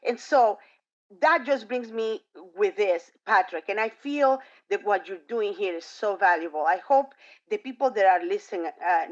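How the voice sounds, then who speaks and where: Earnest woman on a dull video call. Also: mid-range voice. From outside the United States. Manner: expressive and earnest, many fillers. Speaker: panelist. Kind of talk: panel discussion on a video call.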